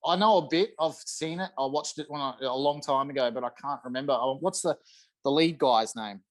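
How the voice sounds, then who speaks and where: A man's voice: medium pitch, fairly quick. A man chatting and bantering casually as a podcast guest on a video call. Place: indoor room at home, on a video call.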